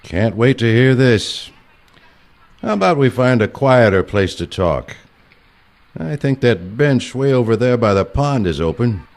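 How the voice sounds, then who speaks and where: Guy doing old retired assassin mentor, curt deadpan lines but pitch moves around a lot, comedy audio play. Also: unhurried. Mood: dry. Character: dry, stern older retired male assassin mentor. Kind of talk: comic audio play acting.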